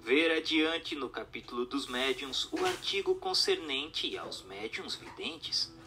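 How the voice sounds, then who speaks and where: A man's voice: medium pitch, big pitch swings, slow. A Brazilian man reading a book aloud as scripted narration, in a formal, didactic manner. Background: background music.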